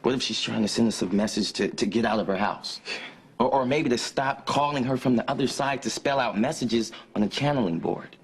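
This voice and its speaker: A man's voice: mid-range voice, fairly quick, slightly rough. An actor playing a skeptical man, hesitant and cautioning, in soap opera style. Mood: worried, cautioning. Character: skeptical young man wary of ghostly warnings.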